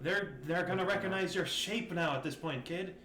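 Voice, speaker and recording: A man's voice: high-pitched, moderate pace, over a noisy crowd. A man playing a tabletop game, bantering casually with friends. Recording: echoey room.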